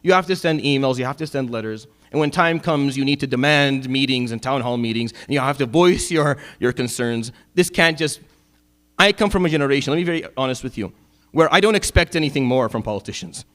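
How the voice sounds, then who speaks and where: A middle-aged man from Syria, giving a Friday sermon as an imam, impassioned at times and dropping low and wry at others. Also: a little fast, a bit bright. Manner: impassioned, rising to emphatic peaks then dropping low and wry.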